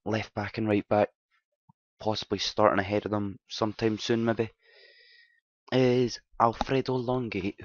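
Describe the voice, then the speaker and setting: A man with a slightly hoarse, dry voice casually talking through a football management game, rambling and correcting himself as he recaps results. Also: dry recording. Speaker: game commentator. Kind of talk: casual gameplay commentary. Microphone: desktop mic at home, quiet. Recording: dull low-fi mic.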